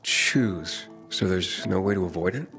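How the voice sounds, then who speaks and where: Quiet low-voiced drifter hero, few words, soft music behind. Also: male voice, unhurried. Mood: guarded. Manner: laconic, guarded. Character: guarded young male wanderer hero.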